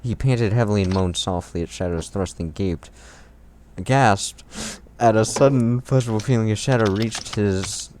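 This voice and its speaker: Low-voiced man on a noisy home mic. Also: normal speed, darkish tone. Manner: amused, swearing, breaking off mid-sentence. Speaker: host reading fan fiction aloud. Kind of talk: casual comedic read-aloud with commentary. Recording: noisy home recording with clicks and typing.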